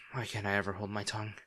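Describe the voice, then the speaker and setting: A man playing a devoted, remorseful bodyguard to a noble lady in a fantasy audio drama, speaking in a formal, halting, earnest way. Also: on the low side, sharp tone.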